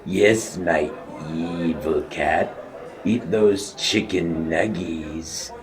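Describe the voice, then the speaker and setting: A man's voice: low voice, soft background music. A man playing a scheming, menacing homeless villain in a student radio play. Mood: sinister. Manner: slow, menacing, theatrical villain talk. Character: scheming homeless man plotting evil with his cat.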